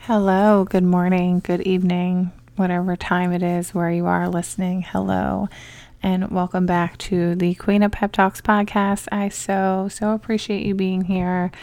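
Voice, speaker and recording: A woman hosting a motivational podcast in an upbeat, encouraging, chatty way, her voice slightly raspy from a cold. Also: on the low side, monotone, a little slow, darkish tone. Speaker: podcast host.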